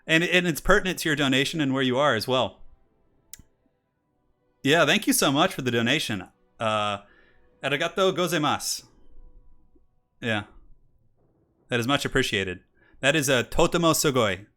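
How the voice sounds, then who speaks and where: Bright-sounding guy in his 30s streaming, chatting w donors then casting a strategy game match, gets hyped on intros. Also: mid-range voice, animated, moderate pace, long pauses, faint music behind. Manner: chatty, reads donations aloud, laughs often. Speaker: streamer and tournament caster. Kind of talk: casual live-stream chat and game commentary.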